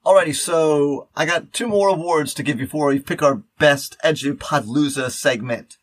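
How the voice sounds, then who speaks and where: A man, a teacher, co-hosting a podcast in a casual, rambling and halting way. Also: on the high side, no echo. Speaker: podcast co-host.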